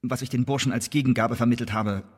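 A male actor playing an accused spy in a German radio play, earnestly and stubbornly protesting his innocence in formal, emphatic words with a husky voice. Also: mid-range voice, steady tone, quick pace, close to an everyday voice. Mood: defiant. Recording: reverberant hall-like radio drama room.

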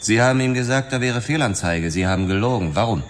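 An actor playing a driven, defiant hard-boiled cop, blunt and confrontational in a noir radio drama. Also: male voice, lowish voice, fast, a little hiss or hum, lightly acted. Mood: menacing.

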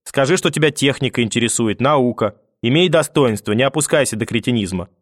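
A man's voice: medium pitch, fairly quick, no echo, clean studio sound, close to an everyday voice. A man voicing a spiteful, domineering old grandmother in an audiobook, scolding and cursing with sarcasm. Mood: scornful, insistent. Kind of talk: audiobook character acting.

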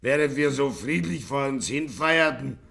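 An actor playing a stubborn middle-aged gangster boss in a 1950s radio play, gruff and demanding with a hard-edged gravelly voice. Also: male voice, mid-range voice, a little slow, fairly bright tone. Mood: gruff, defensive. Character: stubborn 55-year-old male gangster boss, blustering in jail.

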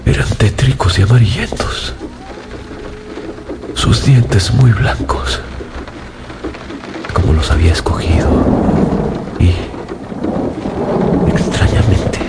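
An actor playing a haunted, feverish scientist in a radio drama, confessing in an overwrought, halting, theatrical way. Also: male voice, on the low side, expressive, creaky, background noise, full character voice. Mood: awed, intense. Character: haunted, feverish male scientist confessing his monstrous creation. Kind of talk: Spanish-language radio drama acting. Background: dramatic music.

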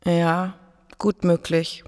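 An actress playing a hesitant, unsure neighbour giving a tentative witness account in a radio drama. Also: female voice, fairly low pitch, flat delivery, extremely slow, a little mellow. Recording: long reverberant room.

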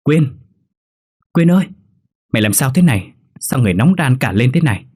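A man's voice: fairly low pitch, moderate pace, darkish tone, husky. A man voicing a blunt but caring young female student in a horror story, bossy and colloquial, fussing over her roommate. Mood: alarmed.

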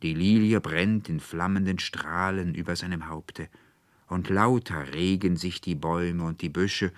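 Guy reading classic german fiction, really deep and slow, standard german accent, solemn, whispery trailing off, dry close sound. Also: no room sound. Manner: solemn, rapturous, drawn-out delivery. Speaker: literary reader. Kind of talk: dramatic literary audiobook reading.

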